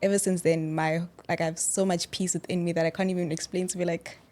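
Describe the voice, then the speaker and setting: A woman's voice: fairly low pitch, little pitch movement, fast, a little mellow. A woman, chatting casually and expressively as a guest and acting out quoted remarks. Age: early 20s. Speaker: interview guest. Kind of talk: casual chat, personal storytelling.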